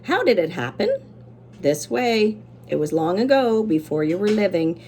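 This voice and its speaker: A woman's voice: medium pitch, sing-song, moderate pace, darkish tone, slight background noise. A woman teacher doing a children's read-aloud, with simple, encouraging explanations for young listeners.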